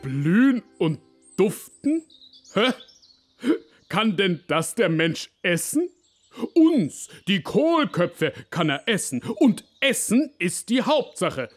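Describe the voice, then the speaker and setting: Self-important cabbage head, bossy, theatrical speechifying, widely swinging pitch, unhurried, children's cartoon. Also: male voice, sing-song, soft background music, heavily acted. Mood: baffled, scornful. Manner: pompous, declamatory speechmaking. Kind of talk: theatrical animated fable for children.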